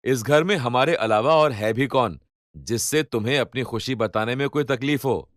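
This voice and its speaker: A man's voice: mid-range voice, moderate pace, dry recording, clean studio sound, close to an everyday voice. A man voicing an inquisitive, slightly indignant husband and father in a cartoon story, with an insistent manner. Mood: mildly indignant. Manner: questioning, insistent. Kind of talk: animated Hindi storybook acting.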